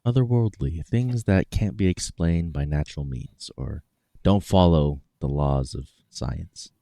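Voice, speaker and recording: Deep, dark-voiced man, dry close sound. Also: a little slow, vocal fry, no echo. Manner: brief, breezy quips. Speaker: sound engineer, co-host. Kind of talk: casual podcast chat.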